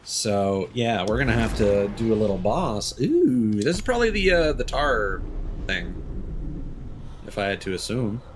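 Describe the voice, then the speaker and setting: A young man with a lowish voice that swings up and down a lot, talking casually over his gameplay and musing as he goes. A faint hiss of noise sits under his voice. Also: sing-song, a little slow. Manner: casual, thinking aloud, reads game text. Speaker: streamer. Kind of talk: live gameplay commentary.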